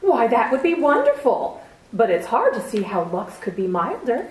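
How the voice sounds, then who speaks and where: A woman's voice: on the high side, huge pitch swings, normal speed, recorded from a distance. A woman playing an eager, wholesome housewife in a 1940s-style radio soap commercial. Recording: echoey room, voice off-mic.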